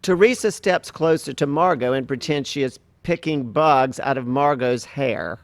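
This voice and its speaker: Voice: medium pitch, normal speed, dry recording. A narrator reading stage directions from a play script in a matter-of-fact manner.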